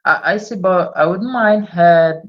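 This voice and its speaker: A man's voice: sits high, a little slow. Dull-sounding call, man practising English. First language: not English. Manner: hesitant, frequent small laughs. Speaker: English learner. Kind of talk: casual chat in a language lesson. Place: online video call.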